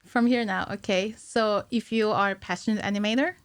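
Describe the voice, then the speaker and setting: A woman's voice: mid-range voice, steady tone, a little fast, smooth, no room sound, clean studio sound. A woman around her thirties in casual interview chat as a guest, explaining things in a giggly, informal way. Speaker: podcast guest, animator. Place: small indoor podcast studio. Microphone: close studio mic on a stand.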